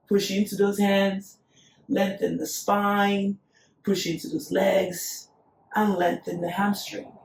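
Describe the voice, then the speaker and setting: A woman teaching a yoga class, calmly cueing breath and poses, her voice still recovering after being lost. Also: mid-range voice, slight background noise. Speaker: yoga instructor. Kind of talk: guided yoga class instruction. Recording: echoey room, voice from a distance.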